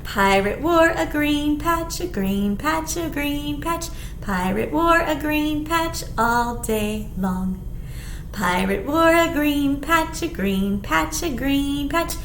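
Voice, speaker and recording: Very high-pitched woman reading to children. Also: slow. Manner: expressive, clearly addressing young children, with simple questions. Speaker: children's librarian leading storytime. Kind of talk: animated children's picture-book read-aloud. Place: small indoor room. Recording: echoey small room, background hiss.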